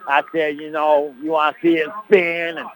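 Man playing a boastful country good-old-boy, high voice, folksy rambling, radio sports bit. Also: level tone, normal speed, a little hiss or hum, close to an everyday voice, low-quality recording. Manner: rambling, folksy, fills every phrase with "man" and "baby". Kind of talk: comic character bit on a sports broadcast.

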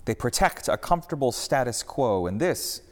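A man voicing Jesus, a calm, patient male teacher who speaks in measured phrases, in a storybook reading. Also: mid-range voice, in a large hall, voice pushed up, close to an everyday voice. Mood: grave.

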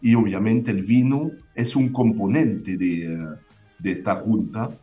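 Low, mellow man with a foreign accent. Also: unhurried, faint music behind. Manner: hesitant, many fillers and restarts. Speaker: winemaker guest. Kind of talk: interview answers.

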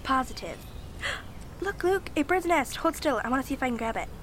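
A woman's voice: high-pitched, lively intonation, moderate pace, background noise. A young girl playing a bossy, bookish eleven-year-old girl, dramatic and exasperated, in an amateur family audio drama. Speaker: child actor and writer.

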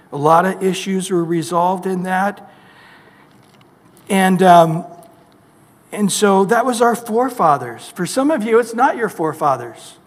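Male pastor, high voice, emphatic sermon delivery with rhetorical questions, long church reverb.

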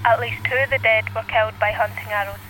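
An actress playing a sober radio newsreader delivering a scripted bulletin. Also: female voice, on the high side, steady tone, quick pace, fairly bright tone, a little hiss or hum, lightly acted, rough recording. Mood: grave.

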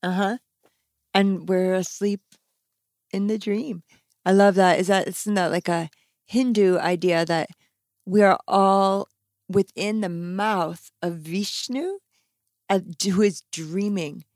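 A woman's voice: mid-range voice, steady tone, slow, pauses often, darkish tone, dry recording, studio quality. A woman hosting a podcast in casual conversation, affirming her guest and laughing often. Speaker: podcast host.

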